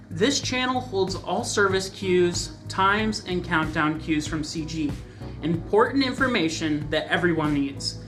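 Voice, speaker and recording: Measured man explaining church production gear. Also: sits high. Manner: calm, measured, instructive. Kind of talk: scripted instructional narration.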